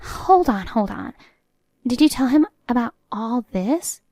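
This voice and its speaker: A woman's voice: fairly high pitch, animated, measured pace, mellow, no echo, voice pushed up. A woman voicing a haughty, meddling middle-aged mother-in-law in a story, agitated and indignant. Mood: panicked.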